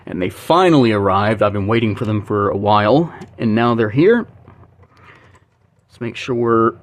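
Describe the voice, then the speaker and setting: A man's voice: lowish voice, lively intonation, normal speed. A young American man chatting casually and thinking aloud as he demonstrates something, in a rambling way. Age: young adult. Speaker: vlogger, record collector. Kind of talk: casual chat while demonstrating. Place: small bedroom, indoors.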